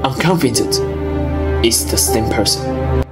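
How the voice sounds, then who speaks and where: A man's voice: mid-range voice, measured pace, over loud music, natural voice. A man acting a proud, self-assured detective in a student radio drama, speaking formally with haughty confidence. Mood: confident.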